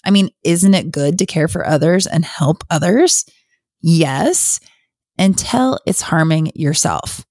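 A middle-aged woman hosting her own podcast, talking in an open, confessional, conversational way, her voice slightly hoarse. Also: low-pitched, animated, slow pace, darkish tone, no echo, studio quality. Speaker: podcast host. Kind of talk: casual solo podcast talk.

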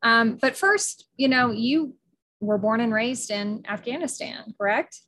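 A woman's voice: a little high, measured pace, fairly bright tone. A middle-aged woman hosting a podcast interview, enthusiastic and talkative, with frequent fillers and restarts. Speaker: podcast host. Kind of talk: casual interview.

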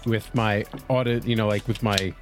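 A man's voice: on the low side, fairly even intonation, normal speed, music in the background. A man chatting casually as a player in a tabletop roleplaying game, informal and jokey.

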